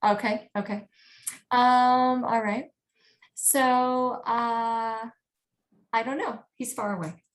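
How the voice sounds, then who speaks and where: A woman giving a live spiritual reading over a video call, soft-spoken and often checking in with questions. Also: fairly high pitch, steady tone, slow pace, pauses often. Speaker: spiritual medium.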